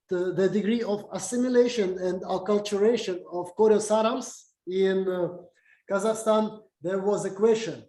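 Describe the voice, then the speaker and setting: Slow, high-voiced man, Russian first language. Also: warm tone, roomy sound, poor audio. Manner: halting, many fillers and restarts. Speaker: lecturer. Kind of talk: academic talk with slides.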